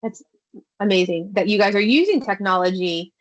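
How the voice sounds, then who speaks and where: A woman hosting a podcast, asking her guest questions in a loose, conversational way. Her phrasing is relaxed and informal, and she leans into casual chat. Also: mid-range voice, normal speed, highs cut off. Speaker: podcast host.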